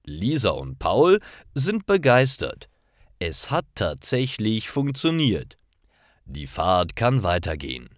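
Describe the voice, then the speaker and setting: Deep-voiced man narrating, slow and expressive. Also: no room sound, studio quality. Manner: simple, carefully articulated read-aloud delivery. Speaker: narrator of stories for German learners. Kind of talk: scripted story narration for language learners.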